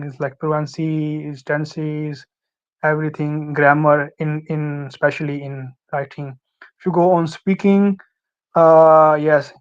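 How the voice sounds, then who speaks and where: A young man from Pakistan with a Pakistani accent, Urdu his first language, giving halting advice as a guest with many fillers and restarts. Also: a little high, clear, resonant tone, lo-fi sound. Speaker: guest, former student. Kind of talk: casual interview advice.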